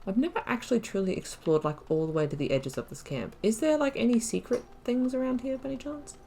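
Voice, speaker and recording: A woman's voice: a little high, fast, creaky, warm tone, a little hiss or hum. A woman giving casual let's play commentary as a gaming YouTuber, chatty and musing as she thinks aloud.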